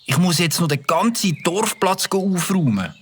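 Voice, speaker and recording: An earnest young schoolboy in a children's radio play. His voice sits fairly high and moves up and down with plenty of expression. He sounds sheepish and good-hearted. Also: big pitch swings, moderate pace, slight background noise. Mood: hurried. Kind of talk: children's audio drama acting.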